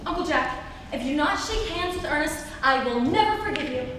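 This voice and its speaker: A woman's voice: high voice, animated, fairly quick. A young woman playing an eager, sweetly insistent girl in an amateur reading of a stage comedy. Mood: pleading. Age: teens to young adult. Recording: very noisy echoey hall, distant mic.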